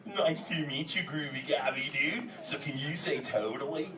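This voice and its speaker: A man playing a laid-back but excitable male sea turtle who drawls surfer slang while bantering with a live audience as host. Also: a little high, moderate pace, distant mic, natural voice. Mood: friendly, teasing. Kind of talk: improvised comic audience banter. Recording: echoey, noisy hall, voice from afar.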